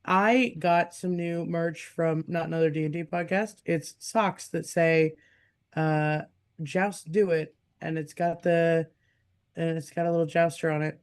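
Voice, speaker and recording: A player chatting casually with friends, quick with jokes and laughing often. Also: medium pitch, fairly even intonation, clear, resonant tone. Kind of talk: casual chat.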